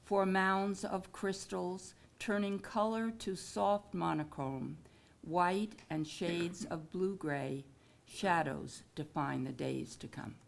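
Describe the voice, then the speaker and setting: A woman poet at a village hall event, explaining and then reciting her own short poem with unhurried care. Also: lowish voice, gravelly, slight background noise. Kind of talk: poetry reading at a public event.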